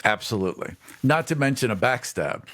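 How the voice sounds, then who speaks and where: A man co-hosting a podcast in casual chat, playful, quick to laugh and defend his choices. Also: medium pitch, lively intonation, fairly bright tone. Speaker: podcast co-host.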